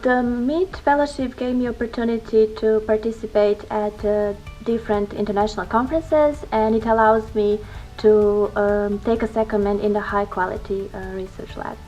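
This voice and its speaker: A young woman reads out her research in a measured, steady way. The audio is a little dull and lo-fi, with faint music behind her. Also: mid-range voice. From Croatia. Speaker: PhD researcher. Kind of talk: video testimonial.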